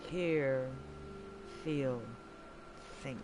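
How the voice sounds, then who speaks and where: A man streaming a game, with excitable, giggly, profane commentary. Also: mid-range voice, extremely slow, background noise. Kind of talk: casual gaming commentary. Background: game music.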